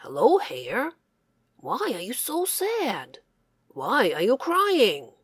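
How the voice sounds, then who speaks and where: A woman playing a kindly, confident old man in a folktale, with measured delivery. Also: a little high, wildly swooping pitch, breathy edge, no room sound, clean studio sound, exaggerated voice. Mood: concerned.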